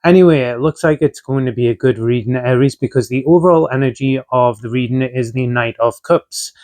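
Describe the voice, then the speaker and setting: A young man with a medium-pitched voice, still rough and slightly off from a chest infection, talks through a tarot reading. He speaks in a relaxed, chatty way, drifting through ums and uhs as he lays out each card. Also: a little fast. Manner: relaxed and conversational, frequent filler ums and uhs. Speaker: tarot reader. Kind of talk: casual tarot reading to camera.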